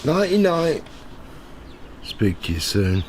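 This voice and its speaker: A man's voice: a little high, sing-song, slow, a little hiss or hum. A man acting a cynical, smug tabloid reporter in a radio play, with a sardonic, goading manner. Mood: mocking. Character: cynical, smug male tabloid reporter narrating his own investigation. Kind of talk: radio play acting with first-person narration.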